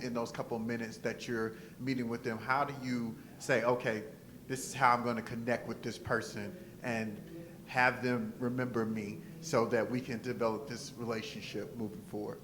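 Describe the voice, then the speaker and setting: A man's voice: mid-range voice, little pitch movement, normal speed, a bit bright, a little hiss or hum, rough recording. A man moderating a panel, asking wordy, layered follow-up questions in a conversational way.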